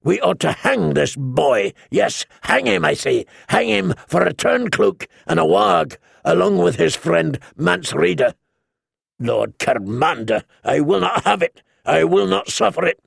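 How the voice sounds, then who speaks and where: A pompous, red-faced old lord sputters and blusters, as a man puts him on. The voice sits higher than the reader's own, dragged out slowly, with a gravelly edge. Dry, close audiobook sound. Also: expressive, no echo, heavily acted. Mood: outraged. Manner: sputtering, outraged.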